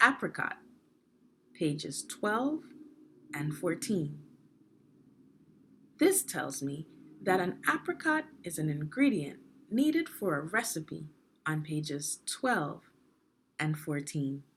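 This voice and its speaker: Slow, expressive woman reading to children. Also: low voice, slight background noise. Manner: instructive, deliberate phrasing, prompting questions. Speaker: reader of a children's instructional book, teacher. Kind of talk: read-aloud guided reading lesson.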